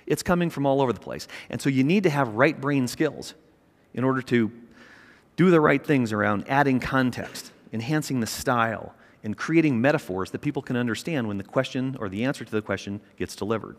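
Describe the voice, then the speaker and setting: A middle-aged man giving an energetic, emphatic keynote speech on a large conference stage, with a small mic. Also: medium pitch, a little fast. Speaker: keynote speaker, company chairman. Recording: long reverb of a large hall.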